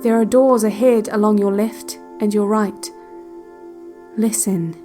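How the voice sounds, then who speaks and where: A woman acting as the guide on an eerie relaxation cassette in an audio drama. She takes her time, measured and hushed, giving calm instructions with a detached, quietly ominous control. Faint music plays behind her. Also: mid-range voice, lightly acted. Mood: hypnotic, eerie. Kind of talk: slow guided visualization, scripted audio drama.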